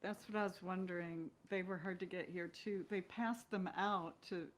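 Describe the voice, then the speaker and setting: A woman's voice: fairly low pitch, fairly even intonation, normal speed, a little hiss or hum. A woman chatting casually as an interview guest, candid and given to questioning herself. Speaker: guest actress.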